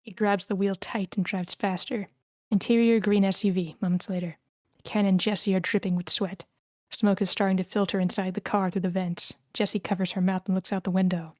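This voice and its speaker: A woman's voice: mid-range voice, flat delivery, normal speed, a little mellow, dry recording. A woman reading the stage directions of a screenplay as scripted narration at a table read.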